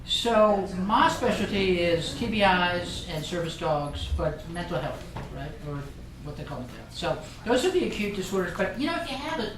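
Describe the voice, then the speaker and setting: A man's voice: high voice, very animated, normal speed, crowd noise. A middle-aged man from Ireland giving a casual, joking talk to a live group, rambling with frequent restarts. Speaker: guest speaker. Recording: roomy meeting room, distant mic, noisy.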